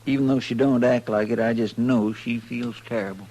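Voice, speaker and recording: An actor playing a loyal, earnest, folksy deputy in a 1950s radio western. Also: male voice, medium pitch, fairly even intonation, moderate pace, dark tone, lightly acted. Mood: earnest, sympathetic. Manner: deferential, homespun, earnest. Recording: noisy old radio drama recording, slightly distant.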